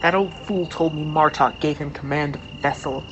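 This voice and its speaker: A woman's voice: low-pitched, fairly even intonation, a little fast, muffled recording, background noise, lightly acted. A woman playing a proud, blunt Klingon noblewoman in an audio drama, with an imperious, formal manner. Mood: proud, gruff.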